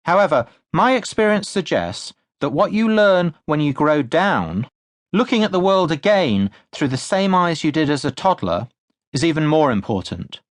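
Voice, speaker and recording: A man reading his own nonfiction audiobook in a scripted, measured way with deliberate, emphatic stresses, recorded in a studio. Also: on the high side, big pitch swings, dry recording. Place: close, dry studio recording.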